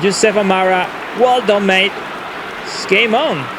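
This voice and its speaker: High-voiced male analyst over loud crowd noise. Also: measured pace. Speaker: esports co-commentator, analyst. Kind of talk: analytical match commentary. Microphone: headset.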